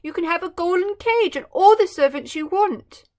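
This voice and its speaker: A woman's voice: very high voice, animated, normal speed, darkish tone, voice pushed up. A woman voicing a lonely old male emperor who speaks in an earnest, pleading way in a storybook read-aloud.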